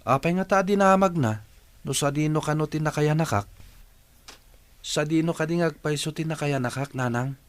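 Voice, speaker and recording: A man's voice: a little high, moderate pace, pauses often, natural voice. An actor playing an earnest, plaintive man in an Ilocano radio drama. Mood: troubled, confused.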